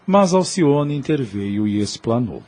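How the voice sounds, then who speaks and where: A man's voice: medium pitch, sing-song, measured pace, darkish tone. A man narrating a religious audio drama from a script in a solemn, literary manner.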